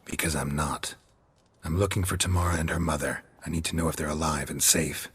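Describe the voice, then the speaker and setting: An actor playing a gruff, laconic monster hunter in a video game, calm and probing, with a raspy voice and terse questions. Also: male voice, brisk, heavily acted. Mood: probing, stern. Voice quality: very low, gravelly rasp. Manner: terse, flat questioning in clipped sentences.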